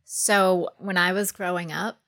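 A woman's voice: lowish voice, steady tone, unhurried, a bit bright. An American woman from New Jersey telling personal anecdotes in a casual, conversational panel discussion. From suburban New Jersey. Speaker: podcast panelist.

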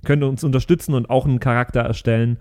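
A man co-hosting a podcast in casual banter, often halting and repeating words. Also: mid-range voice, fairly even intonation, darkish tone. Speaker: podcast co-host and player.

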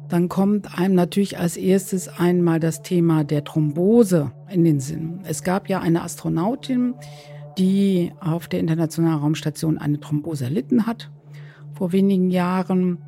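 A woman's voice: on the low side, warm tone. A woman doctor giving explanatory interview answers as an expert, searching for her phrasing as she goes.

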